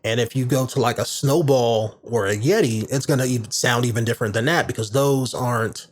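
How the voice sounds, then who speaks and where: A man hosting a podcast in a casual, rambling monologue with a warm, medium-range voice, full of fillers and restarts, recorded on a studio condenser microphone. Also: mid-range voice, fairly quick, a little hiss or hum. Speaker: podcast host. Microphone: large studio condenser microphone.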